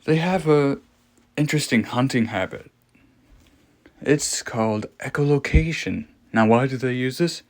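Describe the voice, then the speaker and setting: A man playing a morbidly fascinated scientist explaining a monster in a horror audio log, lecturing with dramatic pauses and rhetorical questions. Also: mid-range voice, natural voice. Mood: fascinated, ominous.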